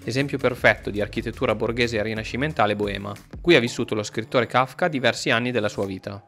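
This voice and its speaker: A man's voice: medium pitch, fast talker, a bit bright. A man narrating a travel vlog from a script. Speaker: travel vlogger. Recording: music under the voice. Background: background music.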